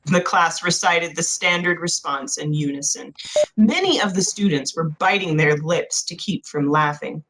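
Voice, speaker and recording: A woman reading a novel aloud as expressive scripted narration. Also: sits low, moderate pace, background noise. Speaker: audiobook narrator.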